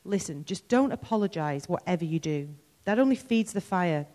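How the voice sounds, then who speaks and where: A woman's voice: lowish voice, expressive, moderate pace, warm tone, in a large hall, natural voice. A woman reading the lines of a sardonic young woman friend who delivers blunt, wry rants. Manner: wry, sardonic, mock-ranting.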